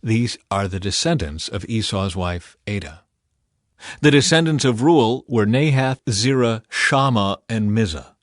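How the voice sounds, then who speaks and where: Guy reading bible audiobook, lowish voice, dry close recording, measured and solemn. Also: expressive, no room sound. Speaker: audiobook narrator. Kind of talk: scripted scripture narration.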